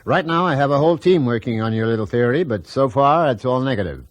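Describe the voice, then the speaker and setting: An actor playing a brisk, commanding aviation boss in a radio drama, curt and authoritative. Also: male voice, medium pitch, lively intonation, no echo, lightly acted. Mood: brisk, reassuring.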